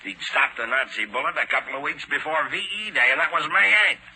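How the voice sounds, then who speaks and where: An actor playing a blunt, plain-spoken American police sergeant, colloquial, in 1940s radio drama style. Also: male voice, fairly high pitch, lively intonation, fast, pushed and bright, husky, full character voice. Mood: insistent. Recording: noisy 1940s radio recording.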